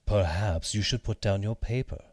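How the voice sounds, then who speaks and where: A man voicing a crafty, politely insistent cat in a children's fairy tale, speaking in measured phrases. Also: fairly low pitch, darkish tone, no echo, clean studio sound, close to an everyday voice.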